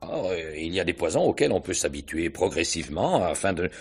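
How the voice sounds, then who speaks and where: Radio mystery inspector: courteous but probing, very deep, rough-edged voice, deliberate questioning. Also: male voice, sing-song, full character voice. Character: shrewd, probing, courteous male police inspector. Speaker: actor in a radio mystery drama. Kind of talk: French radio mystery play acting, 1950s style.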